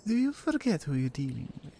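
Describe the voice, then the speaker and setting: A woman voicing a seductive, menacing Russian crime family member with a Russian accent, teasing and commanding in an intimate ASMR roleplay, her breathy murmur sliding into whispers. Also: low-pitched, big pitch swings, mellow, a little hiss or hum, full character voice. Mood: commanding, amused. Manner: slow, deliberate, dominant and teasing.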